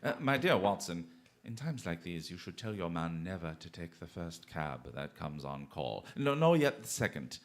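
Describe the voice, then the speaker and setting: A man's voice: deep voice, sing-song, moderate pace. Man acting a swooping, urbane British detective. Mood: brisk, commanding. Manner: urbane, wry, hesitant drawn-in phrasing. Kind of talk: theatrical radio drama acting.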